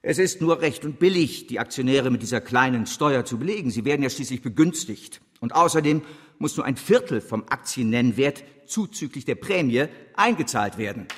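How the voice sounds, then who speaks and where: An actor playing a proud, persuasive bank director giving a formal, measured address in a radio play. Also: male voice, medium pitch, animated, husky. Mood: confident, persuasive. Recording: reverberant hall.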